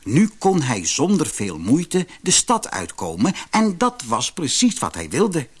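A man with a Netherlands Dutch accent reads a scripted fairy tale as an expressive audiobook narrator. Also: fairly high pitch, a little slow, husky. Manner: expressive storytelling.

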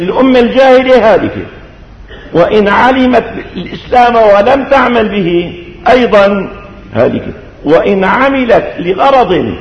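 High-pitched Syrian preacher in an echoing hall. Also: male voice. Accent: Syrian Arabic. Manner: didactic, measured, with rhetorical questions and deliberate phrasing. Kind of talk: mosque sermon explaining scripture. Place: large reverberant mosque. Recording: dull echoey hall recording, long reverb.

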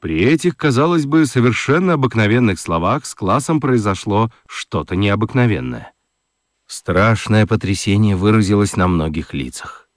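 A man narrating a scripted children's story for a radio play, expressive and fond of dramatic pauses. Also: lowish voice, dry recording, clean studio sound.

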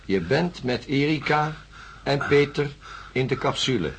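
An actor playing a measured, coaxing doctor in a radio drama, speaking in a deliberate, controlled way. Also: male voice, mid-range voice, expressive, noisy background. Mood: slow, coaxing. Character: measured, coaxing male doctor conducting hypnosis. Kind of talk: radio drama narration and dialogue.

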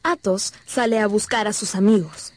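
A woman's voice: mid-range voice, moderate pace, noisy background. A woman narrator delivering dramatic scripted narration for a radio drama.

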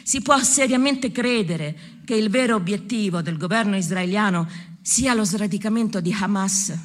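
A woman reading a prepared political statement aloud at a public event, in a measured, formal manner. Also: medium pitch. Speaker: speaker at a public solidarity event.

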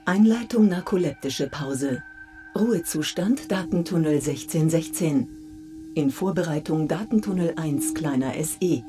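An actress playing a cold female machine voice that announces procedures in flat, clipped lines, with music behind. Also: low voice, a little slow. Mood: announcing.

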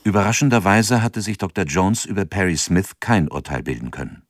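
A man's voice: sits low, fairly quick. An actor as the sober male narrator of a true-crime radio drama, factual and restrained.

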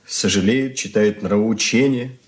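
An actor playing a troubled, ashamed young son in an earnest radio drama confession. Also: male voice, on the low side, a little slow, close to an everyday voice. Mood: anguished. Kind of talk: Soviet radio play acting.

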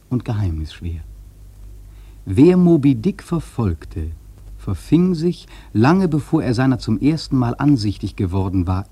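An actor playing a haunted whaling sailor, narrating a literary radio play in a solemn, dramatic manner with deliberate phrasing. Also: male voice, medium pitch, warm tone, slightly rough, no echo. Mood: ominous dread.

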